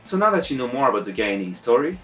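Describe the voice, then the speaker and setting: French-accented man with lively pitch. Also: racing, soft background music. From France. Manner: sarcastic, animated delivery. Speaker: YouTube reviewer. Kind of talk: scripted video essay. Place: home room with bookshelves.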